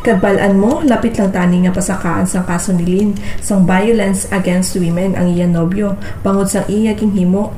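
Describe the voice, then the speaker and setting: A woman's voice: medium pitch, steady tone, normal speed, dark tone, close to an everyday voice. A woman playing a hardworking, caring young girlfriend in an amateur radio drama, earnest and conversational, with a Western Visayan Filipino accent. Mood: concerned, resigned. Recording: roomy echo, faint background noise.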